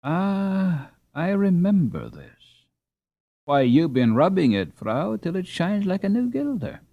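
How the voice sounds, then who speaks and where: A feeble, bewildered older Dutch workman from a storybook, as a man reading aloud puts him on. He speaks slowly and wonderingly, pitched somewhat above the reader's own voice, in a dry, close recording. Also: huge pitch swings, gravelly, no room sound, dull audio, heavily acted.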